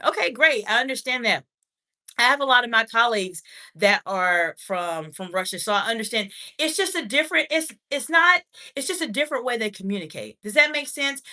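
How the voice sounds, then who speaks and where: Woman, communication coach, livestream, formal and encouraging, greets viewers by name, bright tone. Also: medium pitch. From United States. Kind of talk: livestream talk, reading chat comments.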